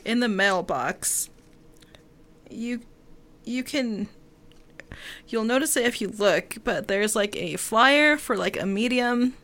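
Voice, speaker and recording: A woman's voice: a little high, animated, slow, pauses often, fairly bright tone. A woman co-hosting a casual film discussion, explaining details in a tentative way. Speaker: podcast co-host.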